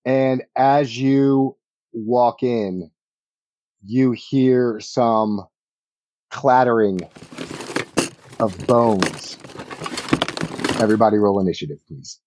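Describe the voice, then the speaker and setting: A man improvising narration as a game master, speaking in short phrases with pauses between them. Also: medium pitch, dark tone, slight background noise, low-quality recording.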